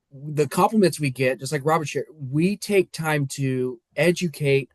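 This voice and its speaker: An upbeat man speaks as a mortgage lender on a podcast, explaining rates and options in a brisk, eager way. His voice sounds dry and close, with little room around it. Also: medium pitch, no echo. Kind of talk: casual podcast talk. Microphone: remote call.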